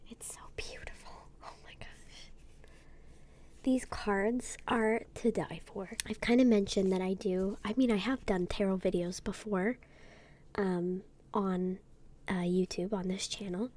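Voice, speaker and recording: Woman whispering ASMR, mellow tone, soft and slow, slightly ill. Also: mid-range voice, steady tone. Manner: soft whispering, gentle and unhurried. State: sick, occasional throat clearing. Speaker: YouTuber. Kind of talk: whispered ASMR tarot deck unboxing.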